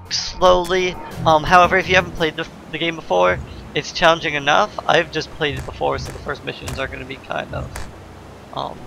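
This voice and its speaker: Higher-pitched man commentating gameplay, music behind. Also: gravelly, bright, forceful tone, low-quality recording. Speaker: let's play host. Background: video game music.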